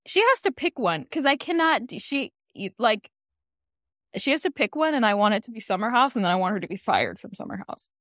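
A young woman co-hosting a podcast, gossiping in a rambling, animated way full of "like"s. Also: a little high, brisk, dry recording, clean studio sound. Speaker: podcast co-host. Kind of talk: casual chat.

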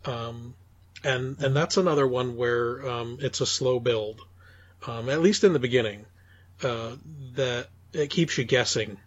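Dull dry recording, man, mid-pitched voice, hesitant casual talk, podcast co-host. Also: no room sound. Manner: halting, hesitant delivery with many ums. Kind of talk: casual discussion.

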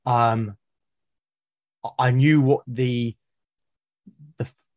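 Man, British accent, mid-pitched voice, casual podcast guest, halting, repetitive phrasing, thinking aloud. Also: steady tone. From Britain. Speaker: podcast guest, solo roleplaying gamer. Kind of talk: casual interview conversation.

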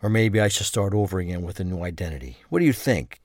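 A man's voice: low-pitched, expressive, quick pace, darkish tone, dry recording, clean studio sound. A man playing a bitter, resigned middle-aged killer in prison, in a crime audio drama. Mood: bitter resignation. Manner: resigned, indifferent.

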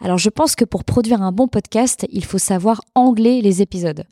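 Measured French-speaking woman podcaster. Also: mid-range voice, warm tone, dry recording, clean studio sound. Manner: measured, hesitant with frequent fillers. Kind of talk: reflective interview answer.

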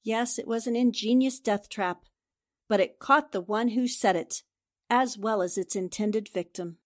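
Woman acting shrewd, composed reporter, higher voice. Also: natural voice. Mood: explaining, grave. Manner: measured, accusatory, articulate. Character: shrewd, composed female newspaper reporter solving a murder. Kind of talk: 1940s radio drama acting.

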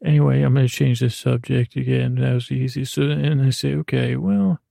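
A man's voice: mid-range voice, steady tone, moderate pace, mellow, no room sound. A man hosting a bedtime podcast, rambling in a meandering, stammering way that trails off and changes subject. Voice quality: creaky, gravelly. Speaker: podcast host. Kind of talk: rambling bedtime monologue to help listeners sleep.

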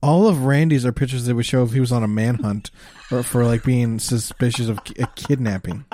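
A man's voice: medium pitch, fairly even intonation, brisk, dark tone, slight background noise. A man hosting a casual comedic chat, cracking sarcastic jokes as he goes. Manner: sarcastic, wisecracking.

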